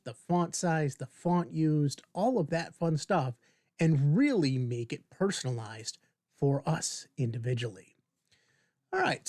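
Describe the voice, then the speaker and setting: A man in his fifties hosting a casual screen-share software tutorial, informally thinking aloud as he demonstrates. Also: on the high side, no echo, clean studio sound. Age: 50s. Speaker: video tutorial host.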